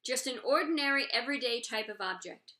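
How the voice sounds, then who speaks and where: A woman's voice: a little high, expressive, fairly quick, sharp tone, reverberant, pitched above the performer's own voice. A woman voicing an encouraging male schoolteacher addressing his class in a storybook, with emphatic delivery. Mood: instructive.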